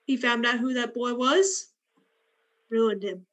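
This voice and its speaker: A woman's voice: fairly high pitch, slow. A woman playing a cold, flippant, bitter young woman in a radio play, with a blunt, sardonic delivery.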